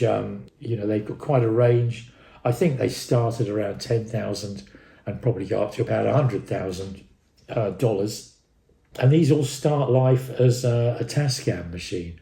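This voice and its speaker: British guy doing a rambly gear review, lowish gravelly voice, kinda off the cuff. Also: warm tone. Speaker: presenter of an audio enthusiast vlog. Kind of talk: informal unscripted equipment review. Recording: roomy, echoing sound.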